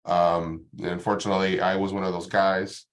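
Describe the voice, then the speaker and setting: Male guest speaker, very low pitch, easygoing and halting. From Dominican Republic. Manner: relaxed, many ums and restarts. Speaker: guest doctor presenting. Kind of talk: casual talk to an online audience.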